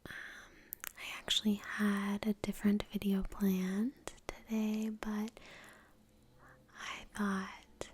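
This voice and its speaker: A woman whispering gently and unhurriedly in a breathy voice, close to the microphone, guiding an ASMR meditation with long pauses. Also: mid-range voice, level tone. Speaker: ASMR creator and meditation guide. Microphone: close microphone in front of the face.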